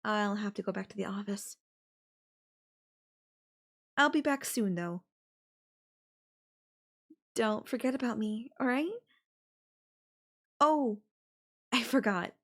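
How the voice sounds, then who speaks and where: Slow-talking, possessive yandere girlfriend played by a woman. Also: medium pitch, a bit bright. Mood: sweetly threatening. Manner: slow, coaxing, affectionate talk with veiled threats. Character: possessive yandere girlfriend, sweetly menacing captor, adult woman. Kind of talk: intimate audio roleplay addressed to the listener.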